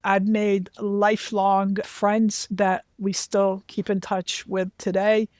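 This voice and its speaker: Woman, 60s, from Lebanon, self-deprecating storyteller, interview guest, mid pitch, dry. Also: flat delivery, slow, a little mellow, no echo. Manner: anecdotal, self-deprecating, with frequent asides to the host. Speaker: interview guest, finance executive. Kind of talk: candid career storytelling.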